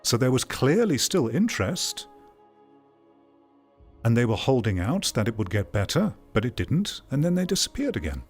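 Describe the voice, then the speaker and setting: A man's voice: medium pitch, sing-song, moderate pace, slightly rough, soft background music. A man giving casual reaction commentary in a blunt, exasperated way, repeating phrases for emphasis. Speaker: host giving commentary on game news.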